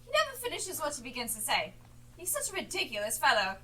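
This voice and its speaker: A woman's voice: sits high, huge pitch swings, fast talker, fairly bright tone, heavily acted. A woman playing an outspoken, merry young lady at a Christmas party in a live amateur stage play. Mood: disapproving. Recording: live stage, distant mic, faint noise.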